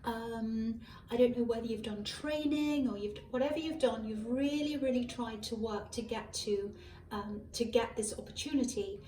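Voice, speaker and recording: A hoarse woman with a fairly high voice, rambling through a tarot reading and correcting herself as she goes. She sounds distant from a misbehaving microphone in an echoey room, with faint traffic behind her. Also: moderate pace, dark tone. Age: adult. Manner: rambling, musing with many ums and self-corrections. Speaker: tarot reader. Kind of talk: casual tarot reading to camera. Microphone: malfunctioning microphone at a distance. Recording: echoey room, far from a faulty mic. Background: faint passing cars.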